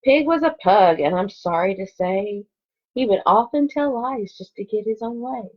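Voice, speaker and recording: Female primary teacher for online story time, mid-range voice, chatty and expressive with young children, dull home-recorded sound. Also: mid-range voice, normal speed. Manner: chatty asides between expressively read story lines. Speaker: second grade teacher. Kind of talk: read-aloud to children online.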